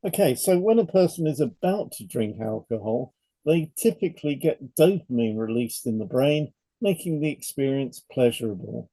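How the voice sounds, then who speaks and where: Gravelly, mellow man reading a podcast script. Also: mid-range voice, expressive, normal speed, no room sound, rough recording. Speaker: podcast co-host, hypnotherapist. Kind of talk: scripted podcast narration, read aloud.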